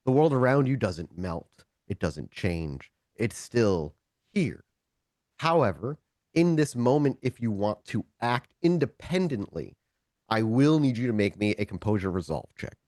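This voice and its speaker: A man running a tabletop roleplaying game, shifting between casual talk and deliberate, dramatic second-person narration. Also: lowish voice, very animated, a little mellow, no echo, clean studio sound. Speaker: game master of a streamed tabletop roleplaying show.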